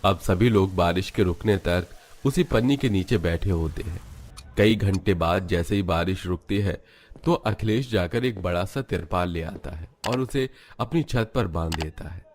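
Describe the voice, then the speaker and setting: Deep-voiced male story narrator. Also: unhurried, a little mellow. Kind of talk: scripted dramatic narration of a cartoon story.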